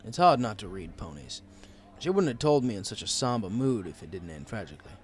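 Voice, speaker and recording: A man's voice: on the low side, very animated, a little fast. A man narrating a scripted first-person story in a wry, conversational storytelling way. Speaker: audiobook narrator.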